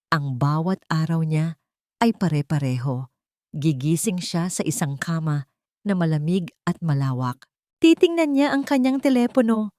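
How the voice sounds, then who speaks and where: A woman's voice: low voice, a little mellow, no echo, studio quality. A woman narrating a scripted dramatic story in a solemn manner.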